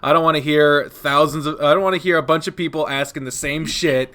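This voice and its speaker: Bright-voiced, excitable swearing host. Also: male voice, on the high side, a little fast. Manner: excitable, swears freely, laughs often. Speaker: interview host. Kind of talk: casual interview chat.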